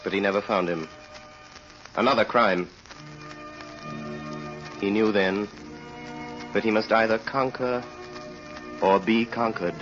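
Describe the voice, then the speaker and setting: An actor as a solemn storyteller, narrating a vintage radio drama in a measured, dramatic way. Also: male voice, medium pitch, fairly bright tone, close to an everyday voice. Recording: muffled old radio recording.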